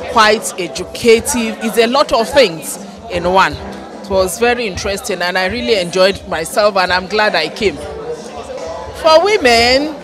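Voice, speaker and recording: A woman giving an unscripted audience reaction interview. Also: medium pitch. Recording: music and crowd behind voice.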